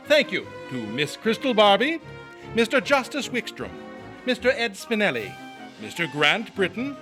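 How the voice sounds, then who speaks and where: A man hosting and announcing a show in an old-time radio style, showy and formal. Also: high-pitched.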